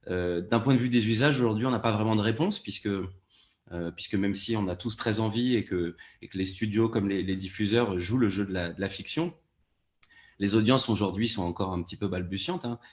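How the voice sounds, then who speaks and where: Male, lowish voice, producer speaking on a discussion panel. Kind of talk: panel discussion, explanatory talk.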